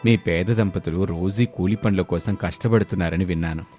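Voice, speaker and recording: Male narrator as generous village elder figure, deep lowered voice, encouraging, animated moral tale, music behind. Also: brisk, close to an everyday voice. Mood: kindly, encouraging. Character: kindly village benefactor offering a poor woman help. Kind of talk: animated moral story dialogue.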